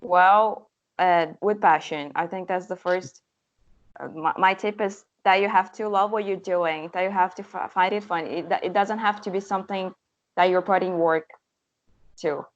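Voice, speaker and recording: A woman's voice: on the low side, dull audio. A young woman whose first language is Portuguese, a language teacher answering interview questions eagerly, with many restarts. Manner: enthusiastic, talkative, with restarts.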